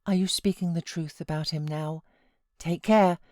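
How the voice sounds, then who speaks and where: A woman voicing a feverish, self-pitying young married gentlewoman from a classic novel, with dramatic outbursts and anguished pleading. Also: sits low, fairly even intonation, normal speed, no room sound, studio quality, lightly acted. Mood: indignant, desperate.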